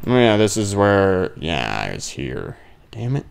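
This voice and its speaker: A man's voice: on the low side, wildly swooping pitch, unhurried, creaky, smooth. A man giving casual, thinking-aloud commentary on a horror game, grumbling and muttering with sudden exclamations. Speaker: let's play gamer.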